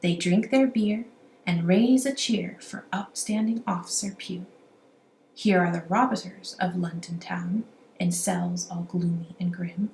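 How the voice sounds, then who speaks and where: A woman reading a rhyming children's story aloud in an animated, amateur storytelling style. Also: lowish voice, unhurried, creaky. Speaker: amateur children's book reader. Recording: roomy echo, faint background noise.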